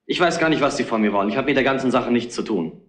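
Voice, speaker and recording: An actor playing a hesitant, evasive witness being questioned in a retro crime radio play. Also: male voice, medium pitch, expressive, racing, reverberant, close to an everyday voice.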